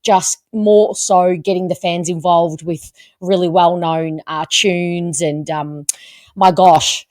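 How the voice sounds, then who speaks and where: A woman's voice: fairly low pitch, steady tone, slow pace, a little mellow, no room sound. A woman hosting a podcast, chatting casually and emphatically about sport and repeating words for emphasis. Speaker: podcast host.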